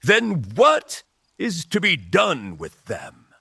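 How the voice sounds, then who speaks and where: A man voicing a menacing, mocking rebel mage leader in an audio drama, with an oratorical, drawn-out, taunting delivery. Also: high voice, wildly swooping pitch, creaky, pushed and bright, breathy edge, dry recording, clean studio sound, pitched above the performer's own voice, full character voice. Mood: rousing, scornful.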